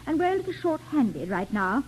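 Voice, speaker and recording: An actress playing a polite, gently encouraging woman at a college in early 1950s radio comedy-drama. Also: sits high, sing-song, fairly quick, low-quality recording. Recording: old radio recording, faint audience.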